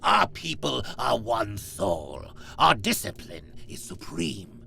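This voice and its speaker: A man playing a proud, zealous reptilian warrior with a gravelly, solemn and haughty delivery in an audio drama. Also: mid-range voice, sing-song, slow, bright, forceful tone, slight background noise, exaggerated voice. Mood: scornful.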